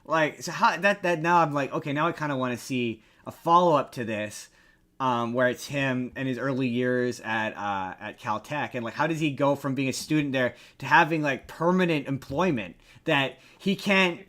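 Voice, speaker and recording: A man co-hosting a podcast in casual chat, telling things in an animated way with some mock boasting and casual swearing. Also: medium pitch, moderate pace. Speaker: podcast co-host.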